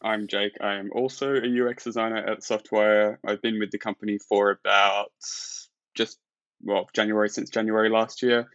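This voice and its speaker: A man's voice: lowish voice, level tone, normal speed, a bit bright, no echo, highs cut off. A man from Australia, a UX designer talking as a guest in a casual interview, thinking aloud with many restarts and fillers.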